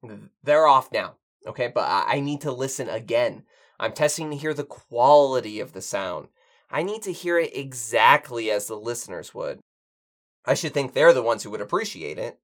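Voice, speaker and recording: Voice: mid-range voice, lively intonation, normal speed, close to an everyday voice. A performer playing a pedantic, fussy apocalypse survivor who co-hosts a radio show in a pompous, wordy way. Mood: defensive, fussy. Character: pedantic, fussy survivor co-hosting an amateur broadcast. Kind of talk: scripted comedic audio drama, bantering radio show.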